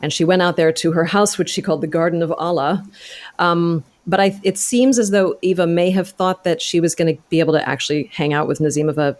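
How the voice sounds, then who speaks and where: A woman's voice: fairly low pitch, steady tone, brisk. A woman speaking as an interview guest, articulate and careful with facts as she recounts research in a conversational way. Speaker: podcast guest, actor and author.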